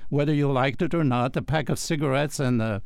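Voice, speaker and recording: An older man with a French accent, a guest telling his story in a relaxed radio interview, chuckling often. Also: medium pitch, brisk, creaky, smooth, no echo, clean studio sound. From France. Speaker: guest, wine professional.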